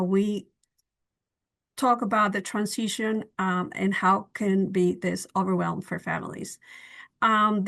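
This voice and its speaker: A woman's voice: medium pitch, creaky, fairly bright tone. A woman who works as a bilingual trainer, explaining in an earnest way on a video call webinar, with small slips in her phrasing. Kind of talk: webinar presentation.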